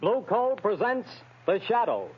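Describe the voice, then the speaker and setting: Male announcer, dramatic 1930s radio delivery, high voice, emphatic, muffled old recording. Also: big pitch swings, unhurried, slightly rough, soft background music. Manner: emphatic, theatrical.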